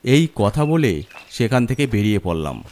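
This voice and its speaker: A man's voice: on the low side, very animated, normal speed, a little mellow. A man narrating a scripted horror story in a dramatic storytelling style.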